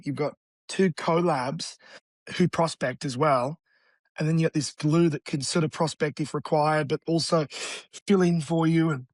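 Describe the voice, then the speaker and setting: A man, a real estate agent talking as an interview guest in an energetic, blunt, casual way. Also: on the high side, no room sound. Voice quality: raspy.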